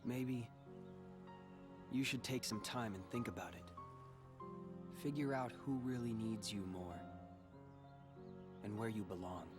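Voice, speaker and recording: A man playing a guarded, brooding but quietly caring teenage boy in a video game cutscene, terse and measured. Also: on the low side, natural voice. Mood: calm, advising. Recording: game music behind the voice.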